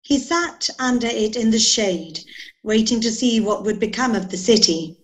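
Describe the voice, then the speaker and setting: A woman's voice: fairly high pitch, normal speed. A woman in her thirties narrating scripture from a script. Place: indoor room, video call.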